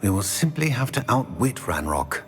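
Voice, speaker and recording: An actor playing a grave old wizard professor in a video game, speaking in a measured, formal and courteous manner. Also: male voice, mid-range voice, big pitch swings, fairly bright tone, soft background music. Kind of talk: video game cutscene acting.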